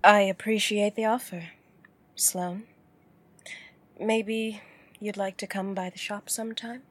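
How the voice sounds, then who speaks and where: A woman playing a guarded, alluring female client with a dangerous past in a noir audio drama, speaking softly and with measure. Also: mid-range voice, fairly even intonation, slow pace, breathy edge.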